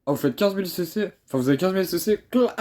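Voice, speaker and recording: Young male gaming creator, congested from a cold; excitable, rambling commentary. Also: high-pitched, takes it slowly. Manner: excitable, chatty, repeats words, swears under breath. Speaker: mobile game YouTuber. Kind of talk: casual gameplay commentary.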